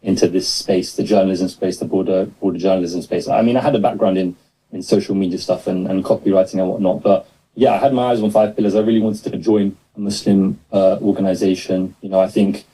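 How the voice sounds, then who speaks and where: A man's voice: deep voice, steady tone, fast talker, dark tone, breathy edge, poor audio. A man in his twenties from London, talking hesitantly with many fillers as a guest on a video call. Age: 20s. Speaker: guest, new staff member. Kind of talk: casual interview over video call.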